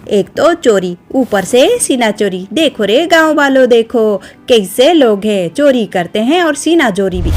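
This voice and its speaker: A woman voicing a loud, spiteful village aunt who shrilly scolds and jeers at her relatives. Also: on the high side.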